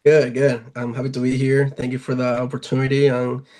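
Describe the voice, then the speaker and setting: A man's voice: medium pitch, monotone, brisk, darkish tone. A man in his twenties from Venezuela with a Latin American accent, talking casually as an athlete being interviewed. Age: 20s. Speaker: professional baseball player, interview guest.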